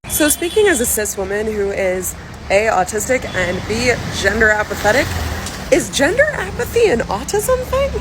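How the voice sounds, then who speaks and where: A woman asking a question in an animated, curious, loud way, recorded near traffic. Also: fairly high pitch, normal speed.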